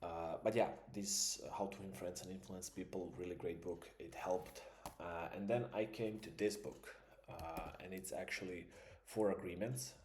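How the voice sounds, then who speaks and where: A man's voice: deep voice, steady tone, moderate pace, a little mellow, echoey room, slight background noise. A man, an English speaker, musing in a casual vlog and thinking aloud with plenty of fillers. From Croatia. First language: Croatian. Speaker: vlogger. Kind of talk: casual reflective monologue.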